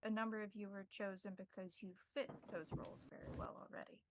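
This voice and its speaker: A woman running a tabletop roleplaying game as game master, narrating in a measured, formal way with deliberate wording. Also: medium pitch, fairly even intonation, noisy background.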